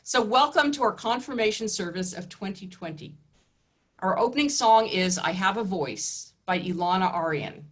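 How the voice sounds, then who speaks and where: A woman leading an online religious service, giving calm, formal welcoming remarks over a home video call. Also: medium pitch, normal speed. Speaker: rabbi or educator leading a service.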